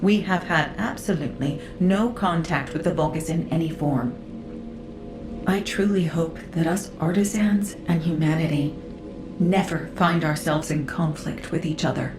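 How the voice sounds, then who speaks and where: A woman playing a solemn Magister artisan in a video game, speaking formally and with measured, apologetic care. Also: deep voice, echoey room, over music, natural voice. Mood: regretful, earnest.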